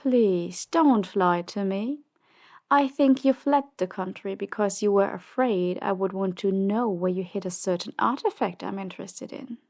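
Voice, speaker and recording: A woman's voice: medium pitch, a little mellow, lightly acted. A woman playing a silky, commanding crime boss. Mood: cold menace. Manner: measured, condescending, coolly polite. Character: commanding female crime boss, silky, menacing, power-hungry. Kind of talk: audio drama roleplay acting.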